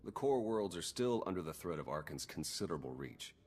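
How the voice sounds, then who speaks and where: An actor playing a wry, weary intelligence operative in a video game, with a casual, dry, understated delivery. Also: male voice, low voice, sing-song, fairly quick, darkish tone, husky, full character voice. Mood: businesslike. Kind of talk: scripted game character dialogue.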